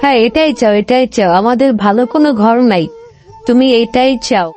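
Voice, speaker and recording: A woman voicing a nagging, bossy fox wife in a cartoon, insistent and quick to repeat herself. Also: on the high side, animated, a little mellow, close to an everyday voice. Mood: excited, delighted. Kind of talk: cartoon story dialogue.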